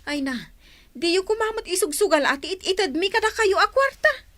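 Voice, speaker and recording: An actress playing an exasperated, dutiful grown daughter in an Ilocano radio drama, reproachful and pleading. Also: female voice, very high voice, animated, brisk, fairly bright tone.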